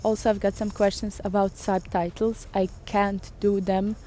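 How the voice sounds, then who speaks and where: A woman's voice: medium pitch, flat delivery, normal speed, warm tone, a little hiss or hum. Serbian-accented woman vlogging outdoors. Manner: chatty, thinks aloud with fillers. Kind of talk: casual talk to camera. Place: outdoors in a city.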